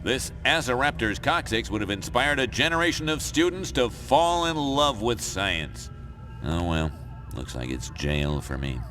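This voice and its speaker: Middle-aged cartoon schoolmaster, formal, hapless, pedantic, bright tone, music underneath. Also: male voice, medium pitch, big pitch swings, a little slow, poor audio. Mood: resigned. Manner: pedantic, formal, self-correcting. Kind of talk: animated game cutscene dialogue.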